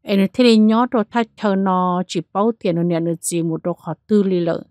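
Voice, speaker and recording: A woman's voice: medium pitch, steady tone, fairly quick, a little mellow, no room sound. Woman telling a ghost story. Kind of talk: spoken ghost story narration.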